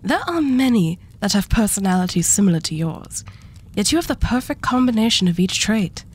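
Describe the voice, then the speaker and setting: A woman's voice: medium pitch, expressive, breathy edge. Woman playing a haughty, condescending female arch devil. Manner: condescending, unhurried, politely menacing. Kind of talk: scripted ASMR roleplay acting.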